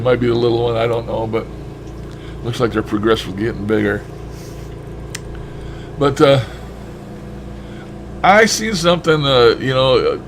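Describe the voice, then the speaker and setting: A man's voice: mid-range voice, noisy background. Folksy, blunt man rambling casually. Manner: folksy, blunt, mildly profane. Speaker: shortwave radio hobbyist vlogger.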